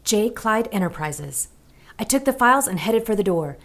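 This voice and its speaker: A woman's voice: medium pitch, expressive, normal speed, natural voice. An actress playing an earnest woman narrating her search in a 1940s pulp audio drama. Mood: earnest, uneasy.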